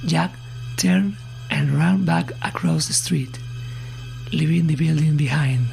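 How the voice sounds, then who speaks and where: A man narrating a horror story in short, ominous, halting phrases that often drop to a breathy hush. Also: fairly high pitch, low-quality recording. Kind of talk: slow dramatic scripted horror narration. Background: eerie suspense music.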